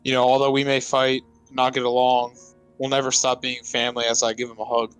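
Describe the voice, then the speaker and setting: A man's voice: mid-range voice, monotone, fairly quick, fairly bright tone, clean tone, soft background music, rough recording. A man playing a tabletop roleplay game, talking casually and speaking for his character.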